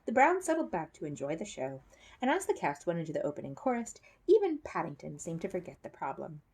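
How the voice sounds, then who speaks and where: Lively woman reading a children's story. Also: fairly low pitch, fast, breathy edge. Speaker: storybook reader. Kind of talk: animated read-aloud narration of a children's story.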